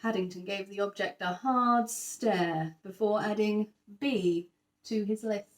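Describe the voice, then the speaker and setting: A woman's voice: mid-range voice, a little slow, reverberant. A woman narrating a picture book expressively for young children, stressing key words as she goes. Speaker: teacher reading a children's picture book aloud. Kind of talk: expressive scripted story narration.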